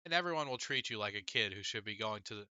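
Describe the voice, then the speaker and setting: A man voicing an exasperated teenage girl, bright and forceful. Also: on the low side, lively intonation, fast talker, clear, resonant tone, no echo. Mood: warning. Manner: emphatic, pleading, argumentative. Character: exasperated, insistent teenage girl, an older sister. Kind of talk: audiobook character dialogue.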